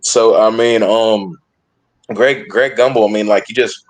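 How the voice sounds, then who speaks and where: A man in casual sports discussion as a podcast panelist, emphatic but halting, often repeating and reworking his phrases. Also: lowish voice, fairly even intonation.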